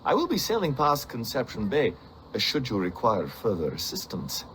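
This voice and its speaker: Courteous, lively-toned naval captain. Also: male voice, medium pitch, slight background noise. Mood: cordial. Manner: formal, polite, measured. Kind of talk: dramatic video game cutscene acting.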